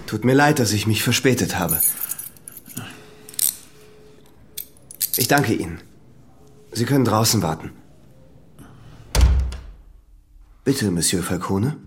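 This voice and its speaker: An actor playing a young examining magistrate, polite, measured and persistently probing in an audio drama interrogation. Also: male voice, fairly low pitch, animated, noisy background, lightly acted. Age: young adult.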